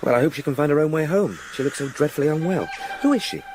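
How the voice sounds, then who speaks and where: Man acting an earnest young English solicitor, animated. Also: a little high, fast talker, background noise, natural voice. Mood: concerned. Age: young adult. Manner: courteous, formal, earnest. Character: earnest, polite young male solicitor, sensitive and concerned. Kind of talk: British radio drama acting.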